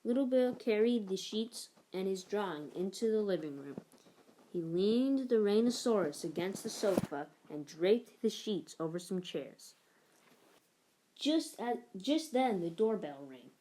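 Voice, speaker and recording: A woman reading a children's picture book aloud, narrating in an expressive, measured storytelling manner. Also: medium pitch, a little hiss or hum, rough recording. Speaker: story reader.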